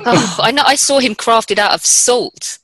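A woman with a Cockney accent, hosting a relaxed conversation and adding playful, friendly interjections. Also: on the high side, unhurried, noisy background. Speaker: host. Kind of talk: casual chat.

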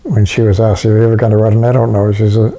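An older man, a poet and children's writer, talking in a hesitant, soft-spoken way with many fillers in a home interview. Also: lowish voice, level tone, racing, a little hiss or hum. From New Zealand.

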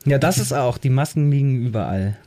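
A man's voice: mid-range voice, animated, brisk, mellow. A man co-hosting a podcast in casual chat, speaking in an amused, self-deprecating way. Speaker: podcast co-host.

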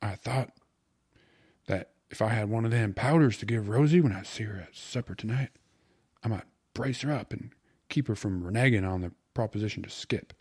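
A man's voice: on the low side, moderate pace, a little mellow, slightly rough. A man voicing a cheerful, cocky young suitor who talks in eager, colloquial slang for an audiobook. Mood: scheming, confident. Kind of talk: audiobook character voice.

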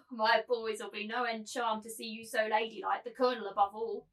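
Woman acting a high-voiced, domineering, mocking brothel keeper. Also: steady tone, normal speed, fairly bright tone, poor audio. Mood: taunting, commanding. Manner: commanding, taunting, theatrical. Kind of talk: dramatised literary reading.

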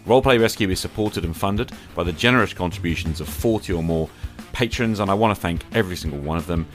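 A man's voice: sits low, quick pace, soft background music. A man hosting a podcast, talking conversationally in an earnest, reflective manner. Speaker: podcast host.

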